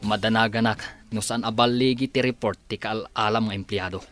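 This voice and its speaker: A man's voice: fairly low pitch, steady tone, fairly quick, fairly bright tone, soft background music, natural voice. A man with an Ilocano accent playing an anxious, exasperated company executive in a radio drama. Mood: worried.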